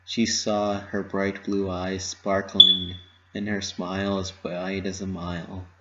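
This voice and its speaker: A man's voice: sits low, little pitch movement, takes it slowly, noisy background. A man narrating a story as a scripted dramatic reading. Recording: slightly muffled lo-fi recording.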